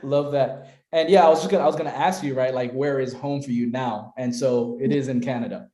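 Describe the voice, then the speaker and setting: A man's voice: medium pitch, steady tone, fairly quick, warm tone, echoey room. A man hosting an interview podcast, upbeat and affirming toward his guest. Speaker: podcast host.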